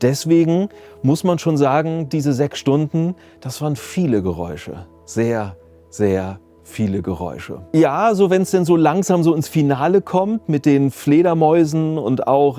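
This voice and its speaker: North guy, sound designer chatting casually, soft dark voice, bit of music under it. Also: medium pitch. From Germany. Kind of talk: casual explanatory interview.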